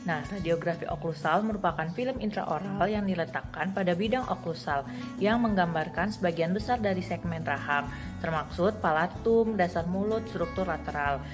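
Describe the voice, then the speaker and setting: A young adult woman, reading scripted instructional narration as a student presenter. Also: fairly low pitch.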